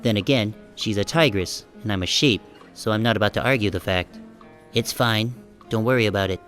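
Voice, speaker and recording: A man playing a short, self-conscious sheep who narrates an audiobook in a wry, deadpan, casual first-person style. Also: fairly low pitch, fairly quick, faint music behind, natural voice. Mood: wry, musing.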